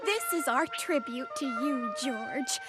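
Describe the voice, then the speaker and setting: A woman's voice: sits high, slow pace. A woman playing a grateful, doting mother bird in an exaggerated kids' cartoon. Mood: grateful, chatty. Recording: cartoon music behind the voice.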